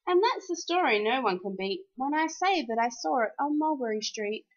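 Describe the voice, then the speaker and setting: A woman reading a rhyming children's storybook aloud in an expressive, animated, sing-song way. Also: sits high, normal speed, dull audio.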